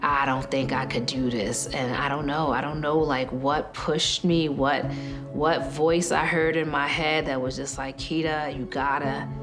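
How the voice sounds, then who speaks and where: A woman's voice: sits low, steady tone, measured pace. A woman from Queens, New York, speaking earnestly and candidly in a documentary interview. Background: music.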